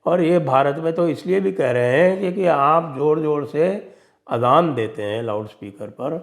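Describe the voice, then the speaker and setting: Higher-pitched man giving pointed commentary. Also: moderate pace, vocal fry. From India. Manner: emphatic, sarcastic, mocking. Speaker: commentator and show host. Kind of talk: opinionated political and religious commentary.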